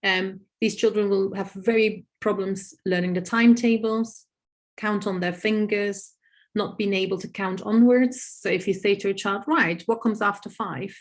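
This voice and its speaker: A woman whose first language is Dutch, answering audience questions on a video call in a thoughtful, explanatory way with frequent pauses. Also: medium pitch, steady tone. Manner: thoughtful, many "um" pauses, lists examples. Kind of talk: webinar Q&A, explaining.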